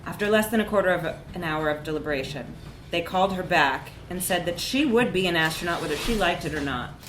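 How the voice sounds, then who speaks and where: A woman's voice: fairly low pitch, animated, fast talker, background noise. Woman reading wryly in an echoey room. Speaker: short story reader. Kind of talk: animated literary narration, live reading.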